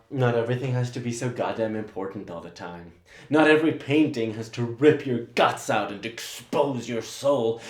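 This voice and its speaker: An actor playing an angry, sarcastic young painter's assistant in a theatrical monologue, swearing in rapid tirades. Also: male voice, medium pitch, echoey room, natural voice.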